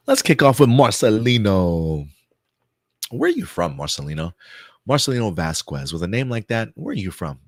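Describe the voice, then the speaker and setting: Male host, low-ish voice, dry close mic, chatty and loose, slightly hoarse. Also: wildly swooping pitch, measured pace, long pauses, no echo. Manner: chatty, loose, lots of fillers and repeats. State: voice getting hoarse. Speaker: host of a pop-culture news show. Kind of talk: live-stream casual commentary.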